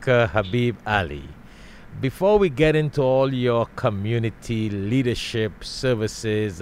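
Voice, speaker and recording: A middle-aged man from Trinidad hosting an interview in a studio, speaking into a boom mic with frequent restarts and repetitions. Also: a little hiss or hum. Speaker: interview host. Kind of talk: casual interview. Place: studio with world map backdrop.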